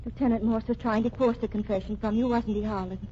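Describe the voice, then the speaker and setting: An actress playing a devoted, interfering wife, coaxing and insistent, in an old-time radio mystery. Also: female voice, a little high, fairly even intonation, fast talker, warm tone, close to an everyday voice. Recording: dull, noisy old radio recording.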